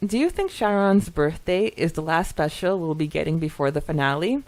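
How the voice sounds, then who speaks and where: Female podcast co-host, lively swooping intonation, giggly and chatty, casual delivery with some reading aloud. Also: low voice, a little fast. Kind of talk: casual chat, reading out listener comments.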